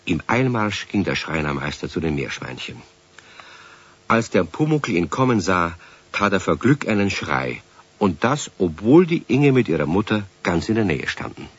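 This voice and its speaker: A man acting as the calm narrator of a children's radio play, telling the story. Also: sits low, moderate pace, slightly rough, heavily acted. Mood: storytelling. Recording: dull 1970s radio recording.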